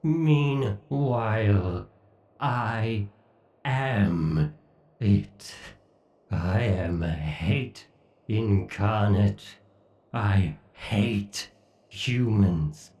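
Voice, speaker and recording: A man performs an obsessed, hateful machine god for a horror audio roleplay. The voice is dark and crackles with fry, drawing out each condescending phrase with theatrical menace. It rings with echo, as if set back from the listener. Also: lowish voice, recorded from a distance, exaggerated voice. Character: obsessive, sadistic male AI god villain, gloating and hateful. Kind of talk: horror ASMR roleplay monologue.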